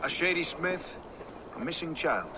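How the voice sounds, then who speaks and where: A man's voice: fairly high pitch, big pitch swings, unhurried, background noise. An actor playing a blunt, crude-tongued dockside watchman.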